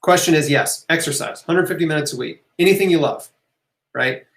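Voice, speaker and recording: A man's voice: fairly high pitch, brisk, gravelly, fairly bright tone. A man hosting a casual live question-and-answer talk, speaking informally and emphatically, encouraging listeners and repeating key phrases. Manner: informal, conversational, checks in with "right?" and "okay?". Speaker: host of a live health Q&A. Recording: roomy, echoing room sound.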